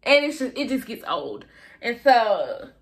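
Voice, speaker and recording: A young woman vlogging to camera from her bedroom, chatty and expressive, with a slight lisp. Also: on the high side, unhurried. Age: young adult. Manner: chatty, expressive, lots of fillers. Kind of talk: casual chat to camera. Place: bedroom, indoors. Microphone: camera in front of her.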